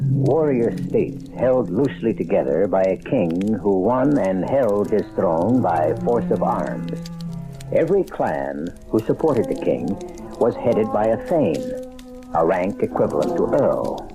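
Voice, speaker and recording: Male radio drama host, low voice, grave, theatrical, animated intonation, music behind. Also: rough recording. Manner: grave, theatrical, measured phrasing. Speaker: radio mystery series host. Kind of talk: dramatic scripted narration.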